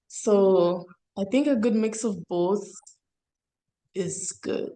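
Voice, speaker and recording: A woman of twenty, a podcast guest, answering in a relaxed, thoughtful way. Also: mid-range voice, slow pace. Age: 20s.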